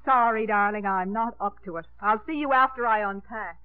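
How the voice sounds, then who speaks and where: A woman's voice: mid-range voice, fairly quick, clear, resonant tone, no room sound, faint music behind, close to an everyday voice. An actress playing a proper, flustered, easily embarrassed mother in 1950s radio comedy style. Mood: evasive, flustered. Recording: muffled, tinny 1950s radio broadcast.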